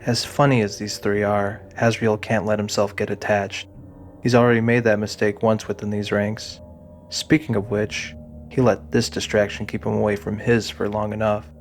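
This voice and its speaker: A man giving a scripted, deliberate narration of a fantasy audio drama. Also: lowish voice, level tone, faint music behind.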